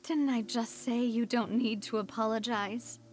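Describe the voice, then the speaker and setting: Actress as a high-voiced, frank young woman. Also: fairly even intonation, a little slow, faint music behind, lightly acted. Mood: frank, forgiving. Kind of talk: scripted game cutscene acting.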